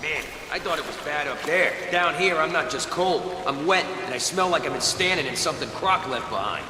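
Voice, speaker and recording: A man's voice: on the high side, expressive, fairly quick, natural voice. A man voicing a whining, complaining henchman in a video game. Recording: echoey tunnel, noisy, watery background.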